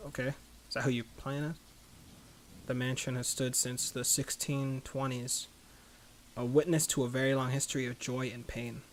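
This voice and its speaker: Guy doing a lets play, reads the story out pretty flat, casual comments, bit of noise behind. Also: mid-range voice, rough recording. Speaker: let's play host. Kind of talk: reading game text aloud with casual commentary. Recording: faint background noise.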